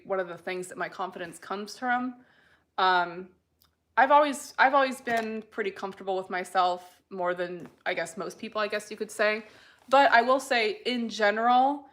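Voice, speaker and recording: Relaxed American woman chatting on a live stream. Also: mid-range voice. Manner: relaxed, unhurried, many fillers; reads viewer comments aloud. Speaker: live streamer answering chat. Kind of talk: casual live-stream chat.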